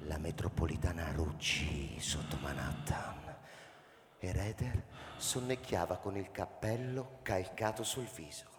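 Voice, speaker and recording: A man's voice: low voice, measured pace, slight background noise. A man narrating a scripted story in a theatrical, intense, dramatic manner. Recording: echoey hall with long reverb.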